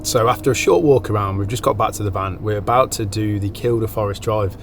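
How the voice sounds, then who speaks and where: A man's voice: lowish voice, brisk, faint music behind. A man chatting casually to camera outdoors as a travel vlogger, upbeat and loose with fillers. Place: outdoors on a road trip.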